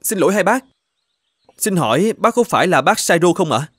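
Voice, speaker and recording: A courteous younger male company executive in an animated tale. He has a fairly high voice and a polite, businesslike manner. Light music plays beneath. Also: normal speed, husky, dry recording, studio quality, full character voice. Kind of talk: animated moral-tale dubbing.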